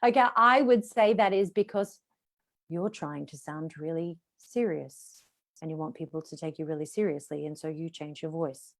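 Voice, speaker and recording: A woman's voice: sits low, normal speed, vocal fry. A middle-aged woman, a voice coach, teaching an online session over a video call in an encouraging coaching tone.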